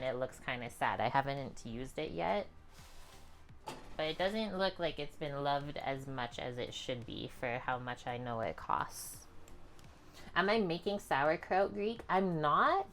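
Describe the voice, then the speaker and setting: A young woman with a calm, chatty manner, walking viewers through a home cooking session in her kitchen. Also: low-pitched. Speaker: cooking streamer. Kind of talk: casual cooking demonstration.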